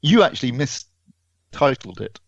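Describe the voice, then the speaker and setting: A man's voice: mid-range voice, very animated, unhurried, creaky, no echo, highs cut off. A British man co-hosting a podcast, talking through a product review casually and correcting himself as he explains.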